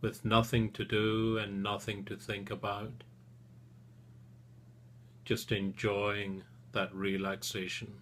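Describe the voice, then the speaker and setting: A man leading a guided relaxation session as a therapist, speaking soothingly and gently in short phrases with long pauses. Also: lowish voice, flat delivery, a little hiss or hum.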